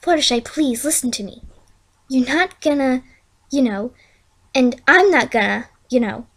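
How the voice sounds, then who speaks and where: A woman's voice: high-pitched, measured pace, a bit bright, exaggerated voice. A woman voicing a worried, reassuring female unicorn pony in a storybook reading, hesitant and coaxing. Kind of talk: amateur storybook fan fiction reading.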